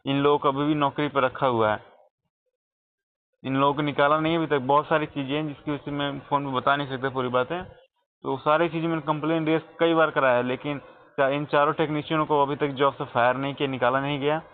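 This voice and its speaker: A man's voice: on the high side, fairly even intonation, a little fast. An Indian man making a complaint as a customer on a phone call, speaking in an insistent, repetitive, aggrieved way. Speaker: customer calling support with a complaint. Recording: tinny phone call recording.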